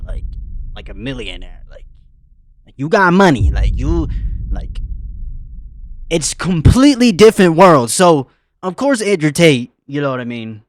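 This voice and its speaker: A man's voice: medium pitch, dry recording. A young man giving casual, rambling reaction commentary, full of slang and fillers. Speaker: reaction streamer.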